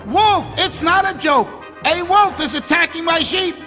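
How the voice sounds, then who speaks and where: Man playing an excitable high-pitched shepherd boy. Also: a little slow, soft background music, full character voice. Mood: panicked screaming. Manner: exaggerated, emotional delivery. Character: mischievous shepherd boy, giggly, whiny, panicky, later remorseful.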